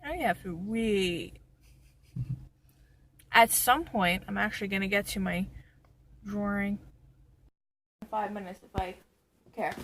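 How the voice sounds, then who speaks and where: A hoarse woman chatting casually to camera in a rambling, self-mocking way. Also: mid-range voice, slow pace, long pauses, fairly bright tone, a little hiss or hum, low-quality recording. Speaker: vlogger. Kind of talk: casual vlog chat. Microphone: camera on a stand.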